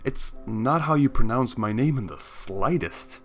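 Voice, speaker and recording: A man playing an exasperated, sarcastic young man in a story reading, sharp and incredulous. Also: medium pitch, animated, measured pace, soft background music, natural voice.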